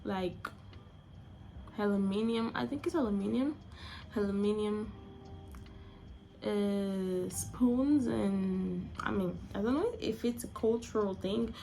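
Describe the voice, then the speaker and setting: Gravelly-voiced woman from Angola, chatty. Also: medium pitch, slow, pauses often, dark tone, slight background noise. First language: Portuguese. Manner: chatty, searching for words, restarts phrases. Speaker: vlogger. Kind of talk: casual opinion vlog.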